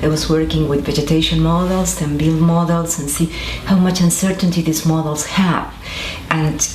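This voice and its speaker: Argentine-born woman scientist, low voice, echoey room. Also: fairly even intonation, moderate pace, a little mellow. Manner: thoughtful, many restarts and fillers. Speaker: interviewee, scientist. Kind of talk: conversational interview answers. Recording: echoey room with faint noise.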